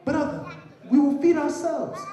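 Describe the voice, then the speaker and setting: A man voicing an eager, hopeful young male eagle in a high, excited voice. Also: a little fast, a faint crowd. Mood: urging. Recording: very echoey room.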